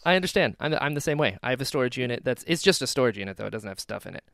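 A man's voice: medium pitch, animated, very fast, gravelly, fairly bright tone, dry recording, studio quality. A man from North Carolina who grew up in Florida, hosting a podcast in a playful, laughing chat. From North Carolina, raised in Florida. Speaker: podcast host. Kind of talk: casual interview chat. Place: at home in Florida.

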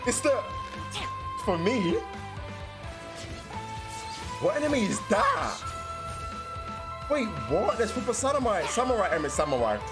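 A young man giving casual, slangy, excitable reaction commentary with some swearing, as a streamer at home. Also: high-pitched, a little slow, pauses often. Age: young adult. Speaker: reaction video host and streamer. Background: game trailer music and sound.

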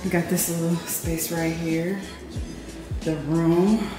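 Low-voiced chatty woman showing off her new place, slow easy talk, echoey empty rooms w music. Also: little pitch movement. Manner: chatty, upbeat, says "boom" and "period" a lot. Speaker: vlogger. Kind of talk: casual apartment tour vlog. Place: empty apartment, indoors. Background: background music.

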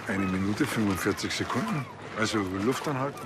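Man as a low-voiced, droll policeman. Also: lively intonation, brisk, very noisy, close to an everyday voice. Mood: earnest. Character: droll, naive, self-assured small-town policeman.

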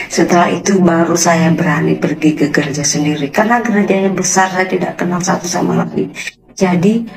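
A woman's voice: on the low side, poor audio. A young woman from West Sumatra, speaking earnestly into a phone camera in a small room and answering critics with rhetorical questions. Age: young adult. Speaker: convert replying to comments. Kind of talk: direct-to-camera reply video. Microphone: phone at arm's length.

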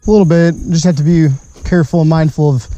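A man's voice: fairly high pitch, steady tone, fast talker, mellow, dull audio. A young man vlogging while unicycling along a wooded trail, chatting casually and playfully to other hikers, sometimes out of breath. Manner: playful, hums and exclaims while riding, out of breath at times. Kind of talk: casual chat while riding. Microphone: helmet or chest action camera.

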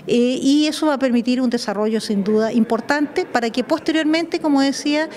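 Woman in her fifties, Chilean accent, higher voice, hesitant interviewee on a handheld mic. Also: darkish tone. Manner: halting, repeats and reformulates. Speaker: regional councillor. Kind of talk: news interview answer. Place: council meeting room indoors. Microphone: handheld interview microphone.

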